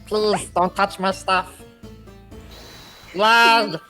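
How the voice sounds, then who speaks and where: A man voicing a fussy, indignant male art dealer in a video game, with shrill, outraged outbursts and a gravelly voice. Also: fairly even intonation, very slow, sharp tone, faint music behind, exaggerated voice.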